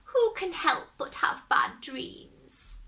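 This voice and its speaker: A woman voicing the devil's kindly old grandmother in a storybook reading, gentle and coaxing. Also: very high voice, lively intonation, slow, voice pushed up, heavily acted.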